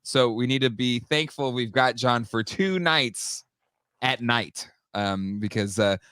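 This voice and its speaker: A man's voice: mid-range voice, slow, fairly bright tone, smooth, dry recording. A man in his thirties or forties co-hosting a live toy show, slightly nasal from a cold, chatty and upbeat with many fillers. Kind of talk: casual live-stream chat.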